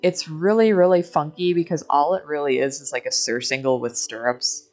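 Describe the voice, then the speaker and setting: A woman with a strikingly low voice gives casual game-stream commentary. She is candid and opinionated, talking as she would in an informal chat. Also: moderate pace, a little mellow, faint music behind.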